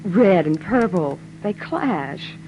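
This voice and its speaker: An actress playing a prim, indignant bookish young woman in 1940s radio drama style, with a breathy voice. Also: fairly low pitch, very animated, slow pace, darkish tone, muffled recording, a little hiss or hum, heavily acted. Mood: scornful.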